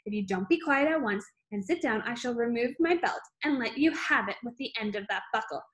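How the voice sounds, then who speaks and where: Read aloud from a storybook, this is a raging headmistress who roars her threats and rants without pause, as a woman puts her on. The voice sits on the high side and stays loud and hard. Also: expressive. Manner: roaring, ranting threats. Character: furious bullying headmistress, a big woman.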